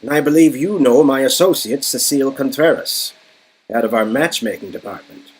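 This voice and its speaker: A man's voice: mid-range voice, normal speed, husky, voice pushed up, close to an everyday voice, poor audio. A man voicing a suave, overly courteous corporate villain in an interactive game, formal and showy, on an amateur recording. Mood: smug welcome.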